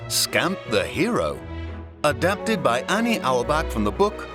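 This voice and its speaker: A man narrating a scripted children's story in a dramatic, expressive storytelling manner. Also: mid-range voice, a little slow, over music.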